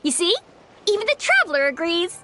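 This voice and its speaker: A woman's voice: very high voice, big pitch swings, fairly quick, background noise. A woman voicing a feisty, argumentative youngster in a video game, insistent and indignant. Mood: defiant. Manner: pleading, indignant.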